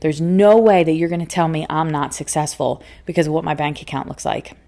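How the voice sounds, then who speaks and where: A woman's voice: low voice, a little fast, vocal fry, warm tone. A woman hosting a solo spiritual self-help podcast, speaking earnestly and emphatically, often laughing and repeating phrases for emphasis. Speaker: podcast host and life coach. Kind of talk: solo motivational podcast talk.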